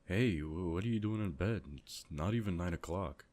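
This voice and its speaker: A man playing a gentle, concerned middle-aged father in a comic dub. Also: low voice, moderate pace, a little hiss or hum, lightly acted.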